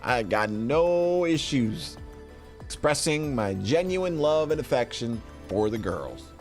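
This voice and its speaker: A man's voice: mid-range voice, sing-song, slow pace, a little mellow, soft background music. A middle-aged man chatting casually to a livestream, rambling playfully and often repeating himself. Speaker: streamer presenting a tier list. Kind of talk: casual livestream chat and commentary.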